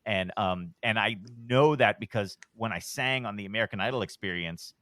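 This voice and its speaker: A middle-aged man with a deep voice, telling a story casually on a podcast. He rambles and pokes fun at himself in an easygoing way. The sound is dry and close, with no room in it. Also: normal speed, no room sound. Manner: relaxed, rambling, self-deprecating. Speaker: podcast host, guest.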